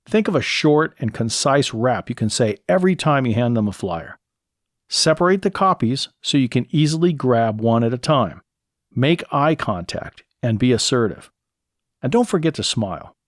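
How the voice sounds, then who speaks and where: A man's voice: mid-range voice, sing-song, moderate pace, dry recording. American guy doing a how-to podcast, explains stuff step by step, really dry close sound. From lives in California. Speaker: podcast host. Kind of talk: solo podcast talk, scripted instructional narration.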